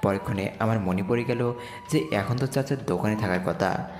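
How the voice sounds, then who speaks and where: A man's voice: lowish voice, moderate pace, a little mellow, lightly acted. A man playing a poor, humble young slum boy who earnestly narrates his own romantic audio story. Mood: narrating, earnest. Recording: echoey reverb with music bed. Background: music.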